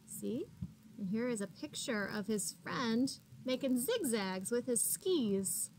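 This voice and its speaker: Slow-paced, high-voiced woman reading to children. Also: slight background noise, rough recording. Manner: expressive, addresses children as friends, gasps for suspense. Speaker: teacher reading aloud to children. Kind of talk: animated picture-book read-aloud with questions to young listeners.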